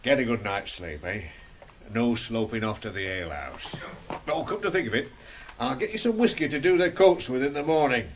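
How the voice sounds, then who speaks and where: A man's voice: medium pitch, lively intonation, moderate pace, lightly acted. A man acting a shrewd, hearty older farmer in a radio drama. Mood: gruff, friendly. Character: blustery older farmer, the ploughboy's shrewd boss. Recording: noisy old radio broadcast.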